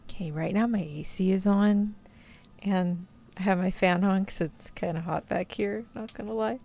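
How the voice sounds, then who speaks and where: A woman's voice: medium pitch, normal speed, vocal fry, warm tone. A woman hosting a livestream, chatting casually and informally in a rambling way with her viewers. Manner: informal, mild swearing, rambling. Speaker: VTuber livestream host.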